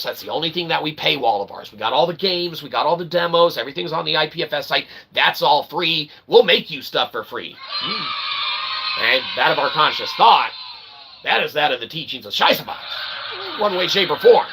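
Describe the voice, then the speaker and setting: Male channel host, gravelly and slightly high, sarcastic rambling pitch man. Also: animated, a little fast, pushed and bright, slight background noise, poor audio. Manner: sarcastic, self-mocking, keeps asking "okay?". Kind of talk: rambling self-promotional monologue.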